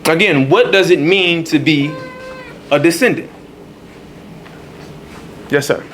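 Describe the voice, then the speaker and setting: A man's voice: fairly high pitch, takes it slowly, fairly bright tone, slight background noise. A man teaching a congregation in a temple hall, repeating key points and checking in with "all right". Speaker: religious teacher.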